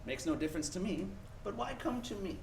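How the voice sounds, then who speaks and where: An actor playing a cruel old rich banker in a radio play, mocking and contemptuous. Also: male voice, sits high, big pitch swings, fast talker, gravelly, distant mic, full character voice. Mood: sneering, probing. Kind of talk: 1940s radio play acting. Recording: echoey stage, background noise.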